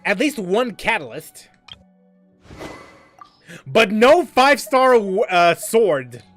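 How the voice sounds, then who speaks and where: A man's voice: high voice, very animated, slow, a bit bright, faint music behind. A man casually chatting while streaming a game, rambling with lots of fillers and restarts as he reads chat aloud.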